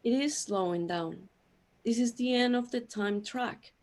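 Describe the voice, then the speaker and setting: A woman playing an old, outwardly calm companion in a radio play, measured and matter-of-fact. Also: on the high side, close to an everyday voice.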